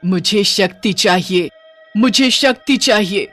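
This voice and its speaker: A woman's voice: medium pitch, slow, fairly bright tone, faint music behind. A woman voicing a vengeful, power-hungry witch bride in a horror story, declaiming with menace. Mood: menacing, power-hungry.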